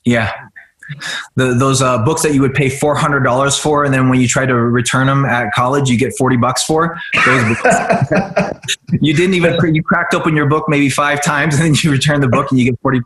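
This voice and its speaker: A man in his forties from the United States, a jiu-jitsu guest explaining things in a relaxed way with frequent fillers. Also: mid-range voice, moderate pace. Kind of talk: casual podcast interview. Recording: roomy echo.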